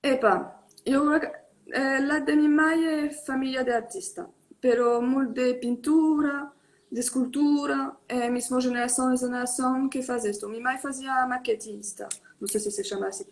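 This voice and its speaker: High-pitched young woman on a video call, lots of ehs, flips between spanish and portuguese. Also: steady tone, moderate pace. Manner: mixes Spanish and Portuguese, hesitant with many fillers. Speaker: tattoo artist guest. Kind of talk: casual online live interview.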